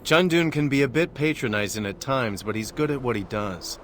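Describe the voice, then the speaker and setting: An actor playing an earnest, level-headed martial arts master in a fantasy video game, talking in a thoughtful, conversational way. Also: male voice, mid-range voice, moderate pace, fairly bright tone, faint music behind, lightly acted. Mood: supportive, resolute. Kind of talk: scripted fantasy game dialogue.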